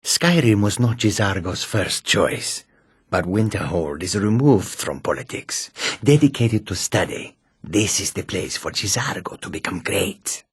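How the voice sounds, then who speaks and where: Deep, husky, self-assured catfolk adventurer. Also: male voice, expressive, unhurried, no room sound, exaggerated voice. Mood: proud, confident. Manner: self-assured, formal, speaks of himself in third person. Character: proud, courteous male catfolk mage and warrior. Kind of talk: video game character dialogue.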